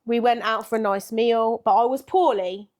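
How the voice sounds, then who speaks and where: A woman in her thirties co-hosting a podcast, her voice still croaky from being ill, telling stories in a lively way. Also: fairly high pitch, normal speed. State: croaky after illness. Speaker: podcast co-host. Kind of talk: casual chat.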